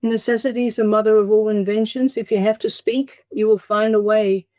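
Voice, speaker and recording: A woman's voice: mid-range voice, steady tone, fairly quick, a little mellow, no room sound. An older woman who speaks English as a second language, talking conversationally as an interview guest. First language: not English (English is second language). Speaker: interview guest, emerita biology professor.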